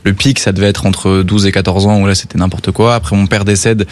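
A man's voice: low-pitched, little pitch movement, a little fast. A young man, a singer, talking in a radio interview, hesitant with fillers and restarts. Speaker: singer guest.

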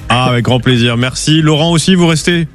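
A man's voice: a little high, a little fast, soft background music. A man hosting a live call-in radio show, affable and friendly with callers, with many hesitant fillers, speaking from a studio. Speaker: radio talk show host.

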